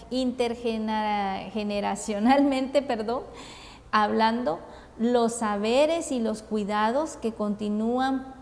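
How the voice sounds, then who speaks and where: A woman from Guatemala with a Guatemalan Spanish accent, giving a formal conference talk in a measured, deliberate way with frequent hesitations. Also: on the high side, darkish tone. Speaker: conference speaker. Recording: long reverb, faint ambient noise.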